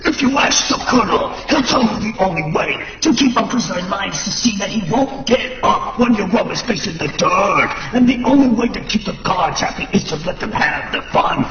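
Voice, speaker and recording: An actor voicing a manic, menacing prison-guard type in a theatrical app voice message. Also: male voice, sits high, animated, a little fast, hardly any pauses, a bit bright. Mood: menacing glee. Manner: theatrical, swooping delivery. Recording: dull roomy distant recording with reverb, music.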